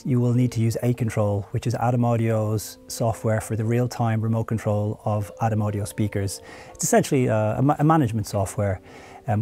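Mellow-voiced man hosting an interview. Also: mid-range voice. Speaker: host, product marketing head. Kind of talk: conversational interview and product explanation. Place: studio room with curtains and audio gear.

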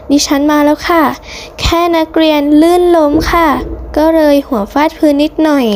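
A woman's voice: high-pitched, a little mellow, a little hiss or hum, natural voice. A woman voicing an anxious, bossy female schoolteacher in a cartoon story, emphatic and insistent. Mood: pleading. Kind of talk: hobby cartoon story dubbing.

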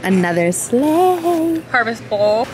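A young woman in her twenties, vlogging in a chatty, animated, slangy way to a handheld camera. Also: fairly high pitch, takes it slowly, music in the background. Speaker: lifestyle vlogger. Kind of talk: casual vlog chat to camera. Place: home, car and shops, in and out. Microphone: handheld camera at arm's length.

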